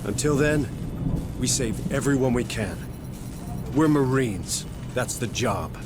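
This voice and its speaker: An actor playing a blunt, tough male Marine sergeant who has just been left in command, talking curtly over loud game music. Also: medium pitch, lively intonation, slow. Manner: blunt, curt, profane.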